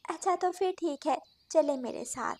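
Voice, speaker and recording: A woman's voice: high-pitched, moderate pace, a little mellow, close to an everyday voice. A woman voicing a kind, fussy mother bird in a children's cartoon, courteous but insistent. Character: kind, fussy female songbird homeowner and mother. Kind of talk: Hindi children's cartoon dialogue.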